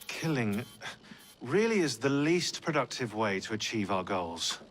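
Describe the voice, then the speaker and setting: An actor playing an urgent, ruthless field operative in a video game cutscene. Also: male voice, medium pitch, sing-song, measured pace, fairly bright tone, slight background noise. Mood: dry, grim.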